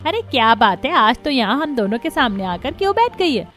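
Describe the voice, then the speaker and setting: A woman's voice: on the high side, very animated, brisk, fairly bright tone. A woman playing a suspicious middle-aged mother in a cartoon, questioning and scolding as she talks.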